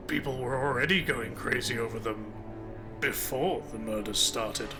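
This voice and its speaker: A man playing a wry, probing, quietly threatening lawman in a noir audio drama. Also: mid-range voice, normal speed, over music, close to an everyday voice.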